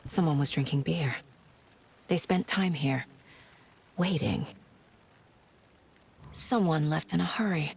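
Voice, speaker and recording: A woman's voice: deep voice, slow pace, gravelly, long pauses, slightly rough, full character voice. A woman playing a composed, dry-witted female FBI agent in a video game, speaking in clipped, analytical phrases. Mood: focused, analytical. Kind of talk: video game dialogue and investigative monologue.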